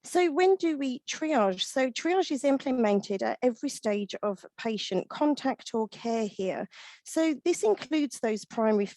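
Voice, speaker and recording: A husky-voiced woman in her thirties, leading an online webinar. Her tone is mellow and soft-edged, and the sound is close and dry. She explains at an even pace and runs through lists with the odd "um". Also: fairly high pitch, measured pace, no room sound. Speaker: webinar presenter and veterinary nurse. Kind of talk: presenting a product webinar.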